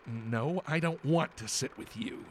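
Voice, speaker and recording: A man's voice: a little high, expressive, unhurried. A man playing an indignant, huffy friend and announcer in a comic radio play, theatrical and exasperated. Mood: outraged. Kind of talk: comic radio play acting, credits reading.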